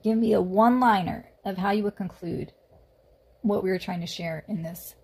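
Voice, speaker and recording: Gravelly woman gently steering the chat. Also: medium pitch, moderate pace. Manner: steering, calm, gently prompting. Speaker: podcast host. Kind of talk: casual faith chat.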